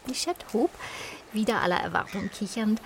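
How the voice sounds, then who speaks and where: A woman's voice: medium pitch, expressive, moderate pace, creaky, a little hiss or hum. A woman giving whispered scripted narration as a narrator in a radio drama.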